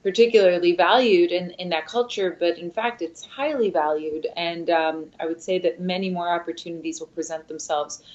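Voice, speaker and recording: A woman who grew up speaking Spanish first, answering interview questions in a thoughtful, measured way with frequent fillers. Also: lowish voice, steady tone. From Michigan, USA. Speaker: guest, opera singer. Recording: dull lo-fi sound.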